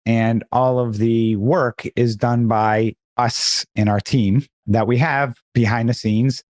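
Man, podcast co-host, mid-pitch, a little froggy, steady and explanatory, close dry sound. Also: dry recording. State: slightly froggy voice. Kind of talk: casual podcast chat.

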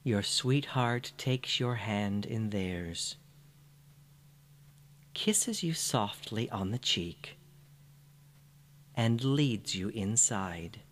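A man narrating a scripted guided meditation in a soothing, gentle manner. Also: lowish voice, expressive, a little hiss or hum. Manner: soothing, unhurried, gentle phrasing.

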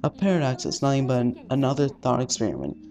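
A man playing a visual novel, reading its text aloud haltingly with casual, sweary commentary. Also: mid-range voice, very animated, darkish tone. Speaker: let's-player. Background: faint game music.

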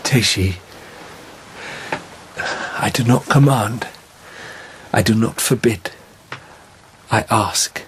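An actor in a radio drama playing a gentle, grave Emperor who speaks deliberately and with courteous authority. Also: male voice, fairly low pitch. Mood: grave, placating. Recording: faint background ambience and noise.

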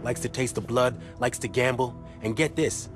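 An actor playing a wry, earnest intelligence contact in a video game, briefing a soldier in a conspiratorial, colloquial way. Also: male voice, mid-range voice, normal speed, music in the background, close to an everyday voice. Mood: wry, intent. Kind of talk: video game cutscene dialogue.

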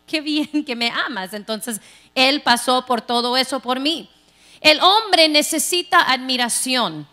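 A middle-aged woman pastor from Texas preaching a sermon on a large church stage into a handheld mic, animated and playful, acting out quoted voices. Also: fairly high pitch. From Texas, United States. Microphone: handheld microphone.

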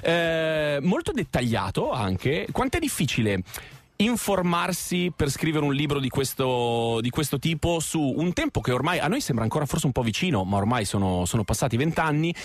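A man hosting a radio interview in a studio, talking in halting phrases with many restarts. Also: a little high, big pitch swings, no echo. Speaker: radio host.

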